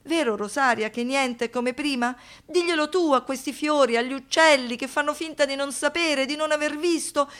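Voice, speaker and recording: An actress playing a haunted, wounded woman in a poetic radio monologue, speaking with intense deliberation, dramatic pauses and rhetorical questions. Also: high-pitched, a bit bright. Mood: agitated, insistent. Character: haunted, wounded woman, lyrical, pleading and defiant.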